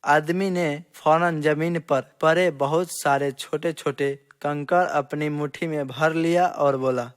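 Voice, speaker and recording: Male storyteller, moderately high voice, scripted moral-tale narration. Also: steady tone, slow, few pauses.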